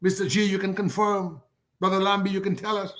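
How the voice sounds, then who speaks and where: A man's voice: high-pitched, steady tone, a little fast, fairly bright tone. An older man from Dominica, speaking as a guest on a political talk show in a fiery way, piling up repeated rhetorical lists. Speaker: guest commentator.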